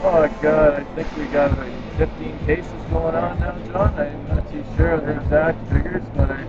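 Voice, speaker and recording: Man chatting over loud music. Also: a little high, fairly even intonation, normal speed. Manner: informal, colloquial. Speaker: interview guest, legal activist. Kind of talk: casual chat in an interview. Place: expo booth. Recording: dull lo-fi audio under loud music.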